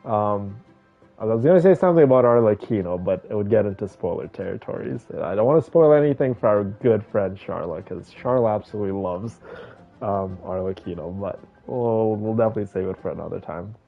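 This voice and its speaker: A game streamer chatting casually with viewers while playing, in a halting, drawn-out, soft-spoken way. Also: low-pitched, lively intonation, vocal fry, narrow, tinny audio. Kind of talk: casual chat, game livestream commentary. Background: game music and dialogue.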